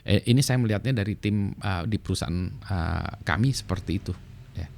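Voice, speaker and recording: A man's voice: sits low, brisk, gravelly, slight background noise. A middle-aged man with an Indonesian accent, talking casually and explaining at an easy pace, with frequent fillers. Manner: relaxed, many fillers and tag "ya". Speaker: vlogger sharing work experience.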